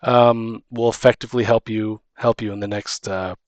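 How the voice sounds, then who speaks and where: A man's voice: lowish voice, fairly even intonation, normal speed, dry recording, highs cut off. A man hosting a casual tabletop gaming chat, rambling and restarting his phrases as he gives advice as a dungeon master. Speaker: podcast host and dungeon master.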